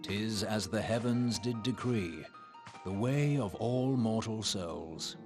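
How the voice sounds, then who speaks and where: Deep, solemn archaic scholar, music behind. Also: male voice. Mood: solemn, reciting. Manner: grave, formal, archaic diction. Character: solemn male scholar speaking in archaic prophecy. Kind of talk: theatrical fantasy game dialogue. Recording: loud music under the voice.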